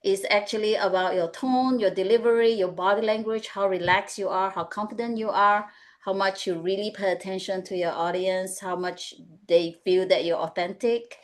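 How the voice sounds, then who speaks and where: A woman's voice: mid-range voice, fairly even intonation, normal speed. Woman. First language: Mandarin. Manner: earnest, advice-giving, often adds "right?". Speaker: panelist, executive coach. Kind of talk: webinar panel discussion.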